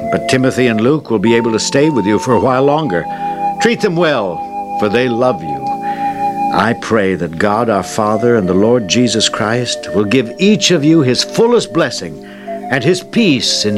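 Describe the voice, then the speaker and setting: A man's voice: mid-range voice, big pitch swings, measured pace, over loud music. A man playing a firm, dignified missionary apostle with an assured, declamatory manner in a dramatized Bible audio drama. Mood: tender.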